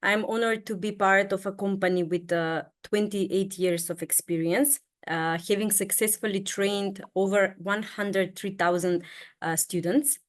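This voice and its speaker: A woman opening a webinar over a video call at an ordinary pitch. She speaks steadily, padding her phrases with frequent filler sounds. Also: fairly even intonation, a little slow. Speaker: sales specialist. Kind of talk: webinar introduction.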